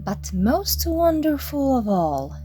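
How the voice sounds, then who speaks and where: A proud, imperious emperor from a children's storybook, voiced by a woman reader. The delivery is commanding and exclamatory, with music playing clearly behind it. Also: high voice, very animated, takes it slowly, voice pushed up, full character voice. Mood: proud, admiring.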